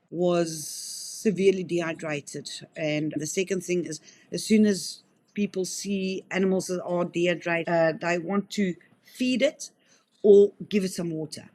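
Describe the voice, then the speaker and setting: A woman's voice: on the low side, fairly even intonation, measured pace, a little mellow. A South African woman in her fifties explains things in an earnest, talkative way. She often backs up and restarts her sentences. She sounds like a wildlife rehabilitator answering interview questions. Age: 50s.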